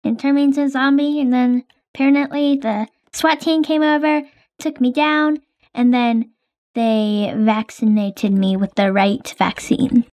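A woman with a high voice plays her part in a casual game roleplay. She talks in a hesitant, chatty way with many fillers. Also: steady tone, a little slow, darkish tone. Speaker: roleplay player.

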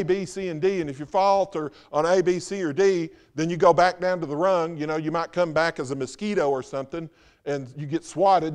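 A man preaching a Sunday sermon as pastor, firing rhetorical questions, then dropping to a hushed, slow voice for emphasis. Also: fairly high pitch, clean tone. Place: church sanctuary.

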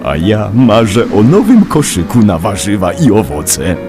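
A courteous, friendly dragon with a fairly low voice, played by a man. The delivery suits a children's Christmas audio drama, with music clearly underneath. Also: very animated, a little slow.

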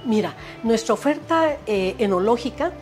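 A woman's voice: a little high, expressive, normal speed, darkish tone. Older Mexican woman sommelier over music. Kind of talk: explaining a wine cellar in an interview. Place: indoor wine cellar. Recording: music clearly under the voice.

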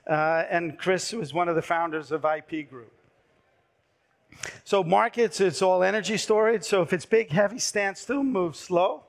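Man pitching on stage, voice going hoarse. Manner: brisk, persuasive sales pitch with fillers. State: losing his voice. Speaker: startup pitch presenter. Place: large dark conference stage. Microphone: headset mic.

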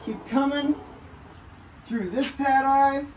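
Relaxed man explaining a kayak rig. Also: extremely high pitch, slow, slight background noise, poor audio. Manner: relaxed, step-by-step explaining while working with his hands. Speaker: kayak fishing channel host. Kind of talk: casual how-to demonstration. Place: outdoors on a house porch.

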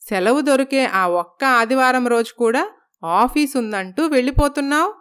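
A stern, scolding mother-in-law from a storybook drama, as a woman puts her on. She talks in a commanding way, with a smooth, clear voice pitched a little high. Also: expressive, moderate pace, a bit bright.

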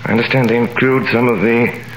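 An actor playing a cool, wealthy, mysterious nobleman in a 1930s radio drama, suave, measured and quietly superior. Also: mid-range voice, steady tone, slight background noise, natural voice. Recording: echoey vintage radio recording with music.

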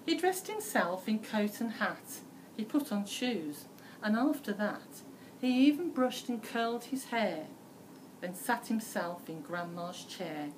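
A middle-aged woman reads a children's story with drama and very varied pitch. Her pace is slow and deliberate. She is recorded indoors at some distance, with faint noise. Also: expressive. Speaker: primary school teacher. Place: school library indoors.

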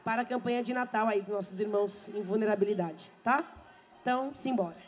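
A middle-aged woman singer, hoarse from many shows, chatting fast and laughing with a live audience through a handheld mic. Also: mid-range voice, faint music behind. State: hoarse, losing her voice. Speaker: samba singer and show host. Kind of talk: chatty stage talk between songs at a live show. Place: bar stage with a live audience, indoors. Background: live samba band.

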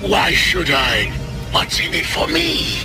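An actor playing an arrogant, defiant robot warlord in a cartoon film, with a harsh, raspy voice. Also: male voice, sits high, huge pitch swings, takes it slowly, exaggerated voice. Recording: loud music behind.

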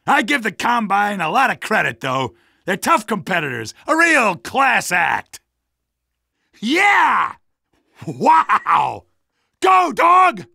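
A cocky, stubborn rebel from a video game. His voice is high for a man and full of gravelly creak, casual and emphatic. It is recorded dry. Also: sharp tone, no echo, full character voice. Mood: triumphant. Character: cocky, stubborn male rebel fighter, boastful everyman.

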